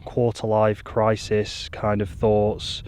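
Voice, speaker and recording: A man, talking to camera in a rambling, self-deprecating monotone on a hilltop, into a mic with a furry windscreen. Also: lowish voice, slow, slight background noise. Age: 20s. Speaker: YouTuber. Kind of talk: casual vlog talk to camera. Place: outdoors on a hilltop.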